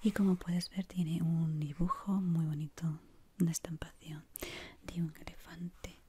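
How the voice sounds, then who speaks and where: A woman with an accent from Spain whispers gently and soothingly in a breathy voice, playing an ASMR shop assistant up close to the mic. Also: lowish voice, steady tone, unhurried. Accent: Spanish from Spain. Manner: soft, gentle, soothing, many diminutives. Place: small indoor room. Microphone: close microphone. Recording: close mic with clear background noise.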